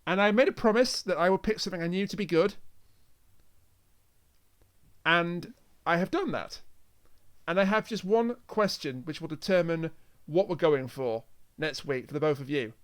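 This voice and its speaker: A man's voice: a little high, normal speed, pauses often, smooth. A man hosting a podcast in casual conversational talk. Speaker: podcast host.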